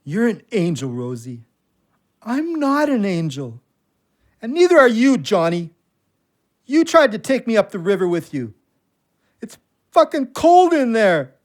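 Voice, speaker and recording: A man's voice: sits high, wildly swooping pitch, a little slow, long pauses, no room sound, studio quality, full character voice. An actor playing a guilt-ridden, haunted drinking man in an audio drama, pleading in colloquial speech. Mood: grieving, rambling. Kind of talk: emotional audio drama acting.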